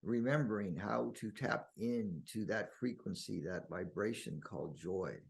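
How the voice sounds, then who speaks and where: A man leading a guided meditation in slow, deliberate phrases with long pauses and a husky, gravelly voice. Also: on the low side.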